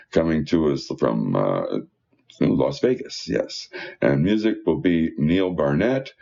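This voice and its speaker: A man's voice: rumbling low, vocal fry, darkish tone, muffled recording. A man reading church newsletter announcements aloud in a folksy, conversational way with informal asides.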